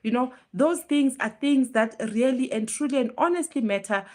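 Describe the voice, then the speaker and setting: A woman with a fairly high voice hosts a casual live chat, her throat hoarse and strained from being sick. She pushes her voice out as she talks earnestly and greets viewers by name. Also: lively intonation, normal speed. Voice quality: normally very loud voice, pushing it now. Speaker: live stream host.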